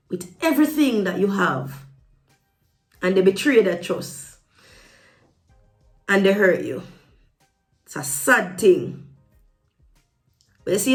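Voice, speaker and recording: A woman with a Jamaican accent giving a casual opinion monologue to viewers, emphatic and repeating phrases to drive them home. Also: medium pitch. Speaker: vlogger. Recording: echoey room with music behind. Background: background music.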